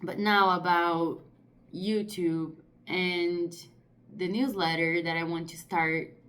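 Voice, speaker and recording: A woman in her thirties from Brazil, Portuguese her first language, talking casually to camera in English with a Brazilian accent, often pausing to find her words. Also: lowish voice, steady tone, a bit bright. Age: 30s. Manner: thoughtful, searching for words with many "like"s. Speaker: artist vlogger.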